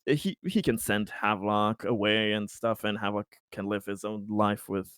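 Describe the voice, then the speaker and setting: Halting, lowish-voiced man at a tabletop game. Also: a bit bright, smooth, dry recording, studio quality. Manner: hesitant, halting, stumbling over words. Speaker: player in a tabletop roleplaying game. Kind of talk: casual game chat, describing and voicing his character's actions.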